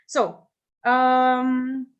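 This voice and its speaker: A woman's voice: high voice, completely flat delivery, extremely slow, muffled recording. A middle-aged woman from Lebanon giving a reflective workshop talk, with frequent restarts and fillers as she thinks aloud. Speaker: workshop speaker.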